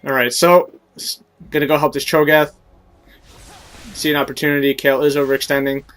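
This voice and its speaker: A young man narrates his game in a relaxed, teaching way, stopping to explain his choices. His voice sits slightly high for a man and sounds thick and stuffy, with a scratchy throat from a cold. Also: fairly quick. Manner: explanatory, coaching tone with many fillers. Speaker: gameplay commentator. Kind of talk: casual game commentary.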